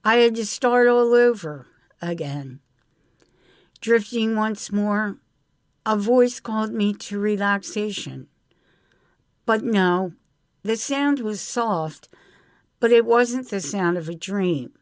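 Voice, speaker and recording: A woman's voice: medium pitch, takes it slowly, long pauses, dry recording, clean studio sound. A woman reading her own literary short story aloud in the first person.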